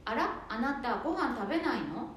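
Woman acting high-voiced polite housewife, very echoey. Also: expressive, moderate pace. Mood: gently complaining. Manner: polite, domestic, gently complaining. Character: polite, patient city-born daughter-in-law, harried housewife. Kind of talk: amateur radio drama acting. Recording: very echoey room, faint noise.

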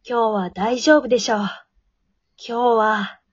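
A woman acting as a weary but determined office worker in a radio drama, narrating her inner thoughts. Her voice is clearly high, recorded very dry and slightly dull, like a lo-fi home setup. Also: steady tone, unhurried, no room sound. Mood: weary, resolute. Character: tired working woman narrating her struggle to sleep.

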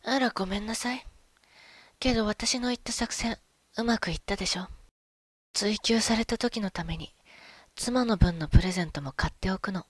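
A woman's voice: mid-range voice, normal speed, long pauses, no echo, close to an everyday voice. A woman acting a smug, conspiratorial other woman in an amateur radio drama.

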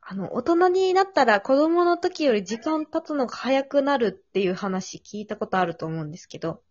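A woman's voice: high voice, lively intonation, a little fast, no room sound. A woman hosting a casual conversation, offering advice in polite, tentative, reflective phrasing. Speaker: podcast host. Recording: slightly dull lo-fi audio.

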